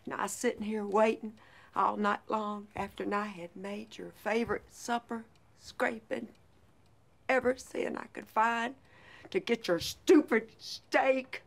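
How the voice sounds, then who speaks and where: An actress playing a wronged, defiant housewife in a raw film drama, plain-spoken and intense. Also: female voice, mid-range voice, steady tone, unhurried, gravelly. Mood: bitter, accusing. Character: wronged housewife, wounded, defiant, confronting her cheating husband.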